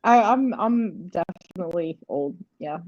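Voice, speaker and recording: Woman guest, 40s, from South Carolina, lively pitch, unhurried, muffled lo-fi sound. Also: no echo. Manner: chatty, laughs often, says like a lot. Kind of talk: casual chat.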